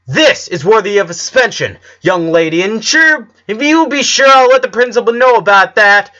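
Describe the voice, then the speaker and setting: A man voicing an outraged, strict sports teacher who yells and scolds in an audiobook. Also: high-pitched, expressive, normal speed, lo-fi sound, pitched above the performer's own voice. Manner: yelling, scolding. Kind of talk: audiobook character voice.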